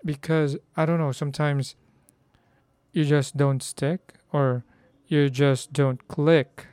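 A hoarse young man from the Philippines, whose first language is Filipino, chatting casually about learning Korean and stopping to restart his sentences. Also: fairly high pitch, flat delivery, takes it slowly, darkish tone, dry recording. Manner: chatty, self-correcting, reads phrases aloud.

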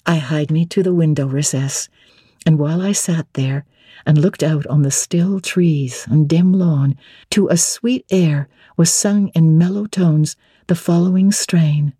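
A woman narrates classic literature as a dramatic audiobook reading. Her voice is very mellow and husky, recorded dry and close. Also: sits low, no room sound.

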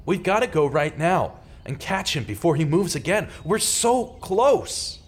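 A man's voice: a little high, big pitch swings, unhurried. A man playing an earnest, desperate journalist in a radio theater drama, speaking with urgent, pleading drama. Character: earnest, desperate male journalist wrongly accused of murder.

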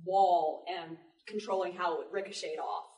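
A woman's voice: fairly low pitch, fairly even intonation, moderate pace, darkish tone. Woman panelist, echoey and slightly distant. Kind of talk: casual advice at a panel. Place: public library panel room. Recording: echoey room, distant mic.

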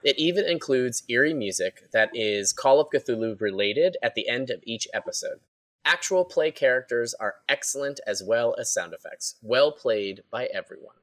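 A man's voice: mid-range voice, expressive, moderate pace. A man on a podcast panel reading listener messages aloud.

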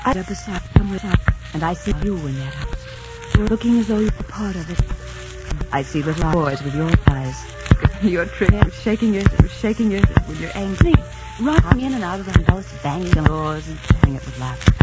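A woman's voice: on the low side, lively intonation, moderate pace, natural voice. An actress playing a wistful lovestruck young woman. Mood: dreamy. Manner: soft and wistful, turning pleading. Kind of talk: 1940s radio drama acting. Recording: muffled old radio broadcast with loud music.

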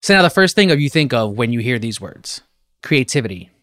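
A man hosting a design podcast, reading a scripted introduction and then asking a guest questions in a lively conversational way. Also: medium pitch, fairly quick. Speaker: podcast host. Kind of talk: podcast interview.

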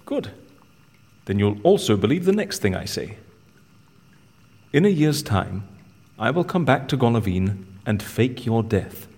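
An actor playing a cheeky, scheming con artist in an audio drama, with a wry, persuasive way of talking. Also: male voice, medium pitch, very animated, unhurried, long pauses, darkish tone, slightly rough, full character voice. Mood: wry, confident.

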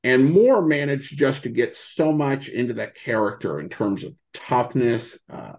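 A man in a casual interview as a podcast guest, talking haltingly and searching for his words. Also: mid-range voice, animated, a little mellow. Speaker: podcast guest, old-time radio podcaster.

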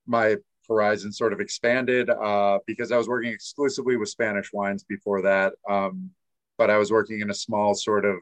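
A young man talks as a guest in a low, flat voice with little rise and fall. The sound is dry and close. He drifts along with uhs and ums. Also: dry recording. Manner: laid-back, halting with fillers. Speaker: guest, restaurant wine buyer. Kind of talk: casual interview chat.

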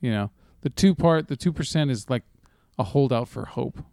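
Guy talking movies casually, swears a lot, keeps stumbling over his words, clean dry smooth sound. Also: mid-range voice, expressive, a little mellow, no echo. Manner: profane, halting and repetitive. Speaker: co-host of a movie review show. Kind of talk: casual chat, film commentary.

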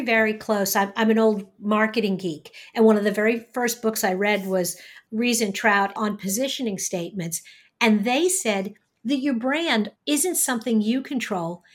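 A middle-aged woman hosting a podcast interview, introducing and questioning her guest in an upbeat, chatty manner. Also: fairly high pitch. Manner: enthusiastic, chatty. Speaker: podcast host.

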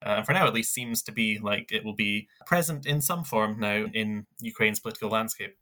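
Bright-voiced male news co-host. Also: on the low side, a little fast. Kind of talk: scripted news roundup.

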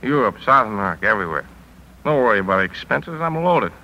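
Forceful, sharp-voiced cynical newspaper editor. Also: male voice, fairly low pitch, sing-song, fast, muffled recording, exaggerated voice. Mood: drunk, agitated. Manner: punchy, sardonic, world-weary. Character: hard-driving, cynical newspaper editor, weary and bitter. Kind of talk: 1950s radio drama acting.

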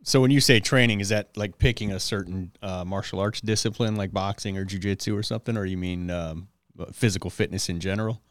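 Male podcast host, very deep voice, smooth and clear, dry close sound, casual rambling. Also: a little fast, no echo. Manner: relaxed, rambling, fillers like "like" and "um". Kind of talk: casual chat.

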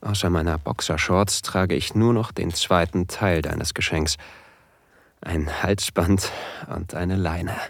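A man playing an eager, nervous submissive husband, confiding his story in a hushed, deliberate first-person audio drama narration. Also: sits low, steady tone, vocal fry, dry recording, studio quality, full character voice. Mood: confiding, anticipating.

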